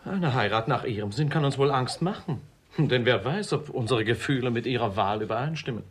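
A man's voice: mid-range voice, animated, fairly quick, lightly acted. An actor playing a young man, the polite but protesting son of a miser, deferential yet cheeky, in a classic comedy radio play. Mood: earnest. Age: young adult.

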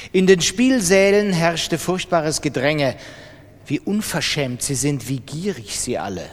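An actor playing an obsessive, self-mocking gambler who confides his brooding thoughts in a literary radio monologue. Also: a little high. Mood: disdainful, tense. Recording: long reverberant hall sound.

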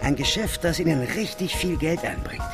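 A man's voice: fairly high pitch, animated, moderate pace, over music, close to an everyday voice. An actor playing a slick, scheming confidence man with a persuasive way of talking in a German radio crime play. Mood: conspiratorial, persuasive. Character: Gus Parsons, slick, scheming confidence trickster.

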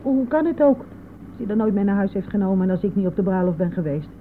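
A woman's voice: mid-range voice, expressive, fast talker, close to an everyday voice. An older woman playing a curt, stubborn village mother-in-law in a radio play, answering tersely and defensively. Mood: reticent, stubborn. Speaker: radio drama actor. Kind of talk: Dutch radio play acting. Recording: tinny narrow-band old radio recording, vehicle noise.

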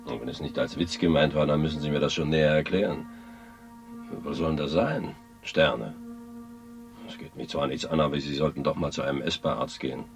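Really deep skeptical guy, dismissive, radio drama, bit of music behind. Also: brisk, vocal fry. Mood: skeptical, patient. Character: skeptical, plain-spoken man brushing off a stranger. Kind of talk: radio play dialogue.

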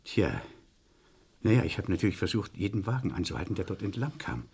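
Halting, husky, meek male clerk. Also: lowish voice, very animated, a little mellow, heavily acted. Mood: apologetic, rambling. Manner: hesitant, halting, politely apologetic. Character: meek, nervous male clerk giving a police statement. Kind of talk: German radio crime play acting.

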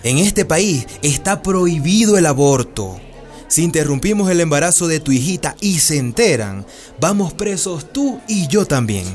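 A man's voice: fairly high pitch, animated, normal speed, darkish tone, soft background music, lightly acted. A man playing a frank, caring family doctor in a radio drama, explaining things earnestly and firmly. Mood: cautious.

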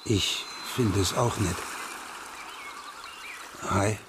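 A man's voice: lowish voice, very slow, background noise. An actor playing a stubborn, remorseful male ex-policeman in a radio crime drama, speaking earnestly. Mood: contrite.

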